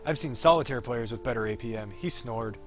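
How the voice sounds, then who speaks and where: Man acting young male pro gamer, wry, breezy, teasing, medium pitch, visual novel game. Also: fairly quick, lightly acted. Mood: teasing, wistful. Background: game music.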